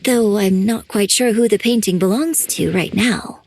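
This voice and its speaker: An actress voicing a graceful young opera writer in a video game, refined, polite and modest. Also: female voice, medium pitch, moderate pace, a little mellow, natural voice. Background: faint game music.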